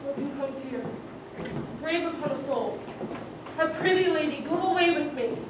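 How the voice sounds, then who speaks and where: High-voiced beaten king played by a woman, pleading and measured, far from the mic in a booming hall. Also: close to an everyday voice. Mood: troubled. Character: troubled king consoling a distraught lady. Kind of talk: live Shakespearean stage acting. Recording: distant stage recording in an echoey hall, with background noise.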